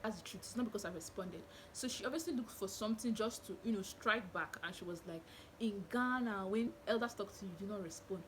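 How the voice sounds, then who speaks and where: A young woman with a Nigerian accent, telling stories to camera in a small bedroom with animated gestures. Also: medium pitch, fast, a little hiss or hum, poor audio. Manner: animated, expressive, indignant storytelling with hand gestures. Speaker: vlogger. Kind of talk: storytelling to camera. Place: small bedroom with curtain backdrop.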